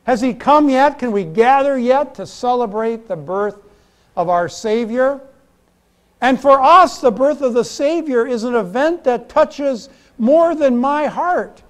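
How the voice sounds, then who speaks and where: A middle-aged American man preaching a sermon in church, deliberate and emphatic, in short phrases with pauses. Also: extremely high pitch, animated. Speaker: Lutheran pastor. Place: church, indoors at a pulpit.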